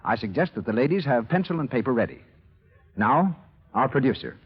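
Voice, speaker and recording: Husky, animated vintage radio host, man. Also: medium pitch, brisk. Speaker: radio show host and announcer. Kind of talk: 1930s radio announcing, scripted sponsor pitch. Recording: muffled vintage 1930s radio recording.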